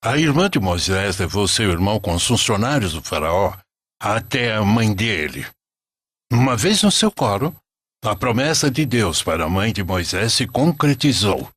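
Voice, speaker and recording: A man playing a devout, patient old grandfather narrating a sacred animated story. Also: fairly low pitch, normal speed, breathy edge, no room sound, full character voice. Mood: solemn storytelling.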